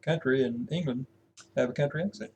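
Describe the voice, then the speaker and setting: A man's voice: medium pitch, fairly quick, darkish tone, breathy edge. A young man co-hosting a casual chat, dropping short dry teasing remarks. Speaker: co-host.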